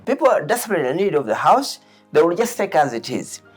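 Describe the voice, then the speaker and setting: Speaker, emphatic, broken english, lively pitch, faint music. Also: fast talker. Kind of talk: documentary interview.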